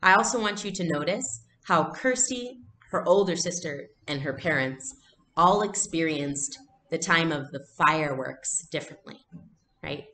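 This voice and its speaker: A woman's voice: deep voice, a little slow, fairly bright tone, roomy sound, a little hiss or hum, rough recording. A young adult woman giving a read-aloud lesson as a teacher, explaining and narrating, recorded at home on a computer mic. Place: small furnished room at home.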